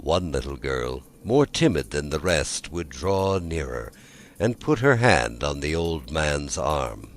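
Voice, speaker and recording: A male storyteller with a low voice reads a fairy tale. He takes his time and swings widely in pitch, placing dramatic emphasis on key words. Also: expressive, slow, slight background noise. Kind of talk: scripted fairy tale narration.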